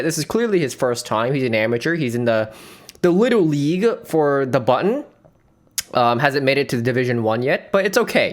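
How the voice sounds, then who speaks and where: A male streamer talks through a dating show clip in a loose, joking, rambling way, his pitch jumping all over as he riffs. He keeps breaking into laughs. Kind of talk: joking reaction commentary.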